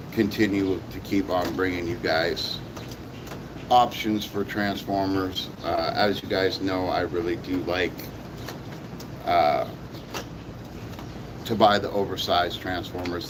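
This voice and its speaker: Hoarse low-voiced man rambling casually. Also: background noise. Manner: rambling, repeats himself, many fillers. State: hoarse, sore voice. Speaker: toy reviewer. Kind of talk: casual vlog chat.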